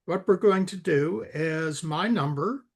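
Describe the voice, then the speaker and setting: Male coding mentor, fairly high voice, unhurried and halting delivery, informal step-by-step instruction. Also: creaky. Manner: halting, repeats words, spells things out. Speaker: software expert guiding a coding session.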